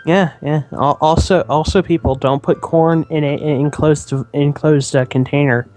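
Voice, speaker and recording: A man giving casual, joking game commentary, speaking haltingly and repeating his words. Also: fairly high pitch, fairly even intonation, darkish tone. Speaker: let's play co-commentator. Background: game music.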